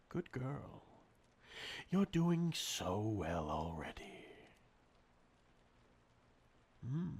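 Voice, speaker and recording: A man performs a centuries-old vampire master for an intimate ASMR roleplay. He speaks very slowly and breathily, slipping into whispers, with wide swings in pitch. His manner is sadistic, possessive and condescending, a soft menace throughout. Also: vocal fry, breathy edge, full character voice. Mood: predatory, hushed.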